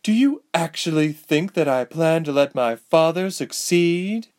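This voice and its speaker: Dude doing cold bitter sorceress lady, slow and cool, a bit higher than him. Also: very animated, darkish tone. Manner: cool and indifferent, turning bitter. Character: cold, bitter, vengeful sorceress, a villain's daughter.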